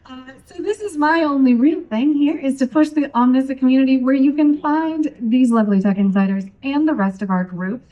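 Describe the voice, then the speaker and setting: A woman's voice: high voice, a little fast, few pauses, muffled recording. A woman moderating a conference panel, casual and bubbly, laughing easily as she introduces the speakers. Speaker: community manager and panel moderator. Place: conference stage.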